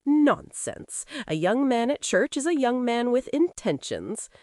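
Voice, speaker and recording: A meddling frontier churchwoman, played by a woman narrator in a Western audio drama. She stretches her words pointedly, with a swooping, lively pitch. The sound is dry. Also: unhurried, dry recording. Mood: knowing. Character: nosy, scheming small-town frontier churchwoman.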